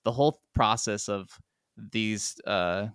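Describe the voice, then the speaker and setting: A man's voice: on the low side, slow pace, fairly bright tone, smooth, dry recording, clean studio sound. A man co-hosting a podcast, chatting casually about video games in a rambling, enthusiastic way. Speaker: podcast co-host.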